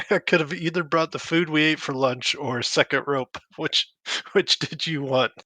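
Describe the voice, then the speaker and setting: A man's voice: fairly high pitch, a little slow, bright, forceful tone, no echo. A man playing in a tabletop game, chatting casually and laughing off his own mistakes. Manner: sheepish, laughs things off. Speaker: player.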